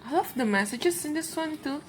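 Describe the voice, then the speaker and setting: Gentle woman card reader. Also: high-pitched, expressive, a little fast, faint music behind. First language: Indonesian. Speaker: tarot and oracle card reader. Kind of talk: channeled card reading for viewers.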